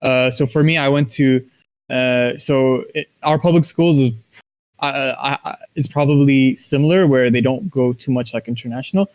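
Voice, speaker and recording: A man's voice: mid-range voice, moderate pace, a little mellow. A man from Kuwait speaking casually as an interview guest, thinking aloud in short, broken phrases. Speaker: interview guest, esports professional.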